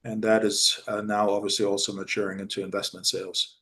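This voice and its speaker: Man from Germany, low voice, businesslike, pauses with "uh". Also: little pitch movement, pushed and bright. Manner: measured, businesslike, frequent "uh" fillers. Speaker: commercial real estate managing director, guest. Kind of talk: casual interview talk.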